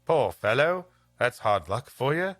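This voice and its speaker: A man's voice: medium pitch, big pitch swings, a little slow, a bit bright, no echo, soft background music. A man voicing earnest young schoolboys from an old English storybook tale.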